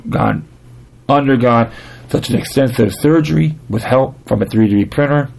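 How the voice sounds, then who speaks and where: A man with a dry voice hosting a podcast, reading and commenting informally in a halting way, often stumbling and repeating himself. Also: medium pitch, vocal fry, warm tone. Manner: halting reader, stumbling over words and repeating phrases. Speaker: podcast host. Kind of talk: reading news articles aloud with casual commentary.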